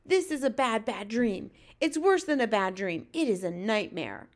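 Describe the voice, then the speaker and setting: An excitable giant from a children's storybook, acted out by a woman. The voice is pitched high and full of drama. He exclaims in tumbling, ungrammatical folksy talk. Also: normal speed, close to an everyday voice. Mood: anguished, furious. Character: excitable big-eared male giant, dream catcher, dramatic.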